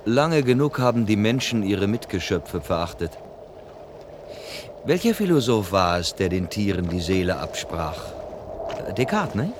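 An actor playing a driven, idealistic scientist in a German radio play, speaking intensely and philosophically. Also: male voice, on the low side, big pitch swings, a little slow, noisy background. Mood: intent, musing. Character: driven, idealistic male scientist aboard a ship.

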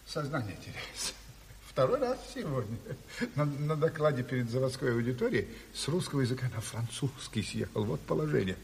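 An actor in a Soviet radio play playing an exhausted, feverish old communist intellectual who rambles, stammers and gets impassioned. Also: male voice, medium pitch, sing-song, gravelly, darkish tone, slight background noise. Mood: weary, apologetic. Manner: stammering, halting, rambling, theatrical. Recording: reverberant hall, 1970s radio production.

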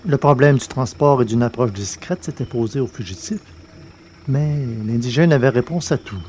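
A man hosting a podcast and reading a novel aloud in a measured, deliberate way. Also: mid-range voice, lively intonation, darkish tone, a little hiss or hum. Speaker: podcast host and narrator. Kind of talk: scripted audiobook narration.

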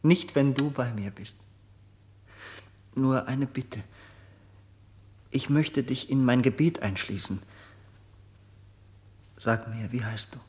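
An actor playing a noble young prince deeply in love in a German radio drama, lofty and fervent in manner. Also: male voice, takes it slowly, long pauses, darkish tone, husky. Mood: tender wooing. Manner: lofty, solemn, fervent declarations.

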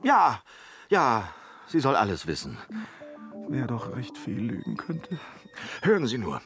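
Chattering, bumbling servant, swooping wildly. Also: male voice, medium pitch, huge pitch swings, a little slow, a bit bright, soft background music. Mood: flustered, blustering. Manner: theatrical, stammering, blustering. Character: talkative, bumbling manservant inventing lies. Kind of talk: German radio play acting of classic comedy.

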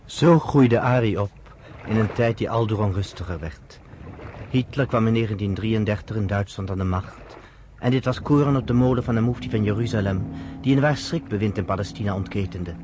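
A man with a fairly low voice serves as narrator of a radio play. He reads scripted storytelling passages. The old recording carries faint noise. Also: brisk.

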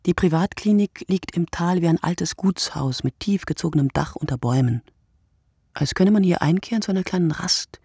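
A performer reading a literary radio play monologue in short, deliberate, reflective phrases. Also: medium pitch, dark tone, breathy edge, no echo, studio quality.

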